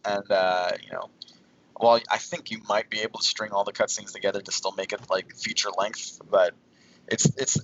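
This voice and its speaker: A man co-hosting a casual podcast, thinking aloud in halting, broken phrases. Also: lowish voice, little pitch movement, a bit bright. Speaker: podcast co-host. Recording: dull audio with faint background noise.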